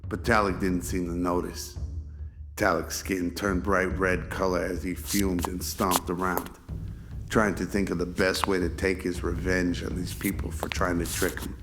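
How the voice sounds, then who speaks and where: A man narrates a radio drama in a deep voice. His scripted reading keeps an even pitch, with music clearly behind it. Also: measured pace.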